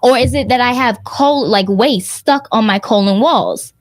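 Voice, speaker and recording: A woman's voice: a little high, measured pace, dry recording, studio quality. A woman in her thirties, a guest speaking in casual interview chat, who stresses her points by repeating them. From United States. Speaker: guest, herbal detox business owner. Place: radio or podcast studio.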